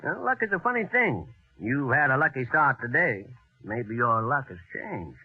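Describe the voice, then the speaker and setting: On a tinny old radio recording, an excitable elderly man with a husky voice. He is avuncular and rambling, swooping up and down as he goes, a genial landlord and amateur inventor from 1940s radio drama. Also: medium pitch, moderate pace, no room sound, faint music behind. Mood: genial, musing. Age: older. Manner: avuncular, chatty, rambling, chuckling.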